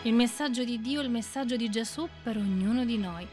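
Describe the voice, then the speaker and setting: A woman with a Sicilian Italian accent delivering a scripted closing announcement in a measured, solemn tone. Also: fairly high pitch, darkish tone. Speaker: radio announcer. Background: faint music.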